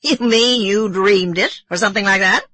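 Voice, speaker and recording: A woman's voice: medium pitch, normal speed, dry recording, voice pushed up. A woman voicing an irritable middle-aged man who is ill, questioning sharply. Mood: agitated. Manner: sharp, interrogating.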